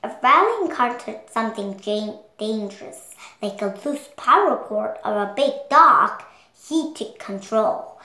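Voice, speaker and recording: A seven-year-old girl reading a storybook aloud in a careful, halting way. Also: on the high side, expressive, sharp tone, roomy sound, low-quality recording. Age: child, 7 years old. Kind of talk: read-along storybook narration.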